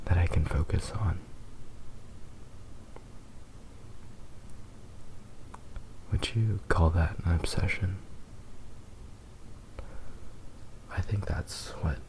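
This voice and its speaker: A man playing a possessive, doting fox-boy demon lover in a tender, hushed ASMR roleplay, with lingering pauses. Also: low voice, fairly even intonation, creaky, slight background noise, poor audio. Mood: obsessively smitten.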